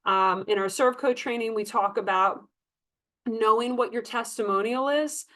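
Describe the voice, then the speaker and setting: A woman's voice: a little high, normal speed, a bit bright, poor audio. A woman working as a sales trainer, talking staff through tips in a conversational, emphatic coaching manner. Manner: coaching, emphatic, conversational, acts out example dialogue. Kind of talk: informal staff training talk.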